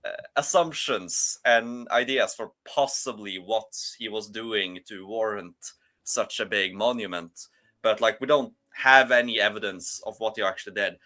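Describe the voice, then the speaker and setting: A man's voice: on the low side, a little slow, bright, forceful tone. A man from Norway with a Norwegian accent, rambling through a casual panel chat with many fillers and restarts.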